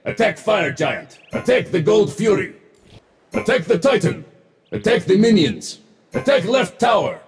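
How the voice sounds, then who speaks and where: A man's voice: high voice, expressive, a little slow, faint music behind. A man voicing a smug, wisecracking futuristic mech-suit warrior for a video game, in punchy, boastful lines. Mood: urgent. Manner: boastful, punchy, clipped callouts. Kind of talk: video game voice pack barks.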